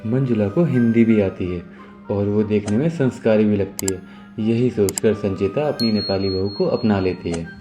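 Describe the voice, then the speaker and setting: A man's voice: fairly low pitch, moderate pace. A man narrating a scripted moral story as its storyteller. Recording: music behind the voice.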